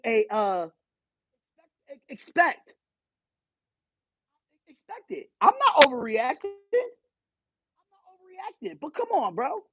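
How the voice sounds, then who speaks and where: A man hosting a live stream, loud and animated, swearing and arguing back at his chat. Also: very high-pitched, no echo. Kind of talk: casual live stream rant.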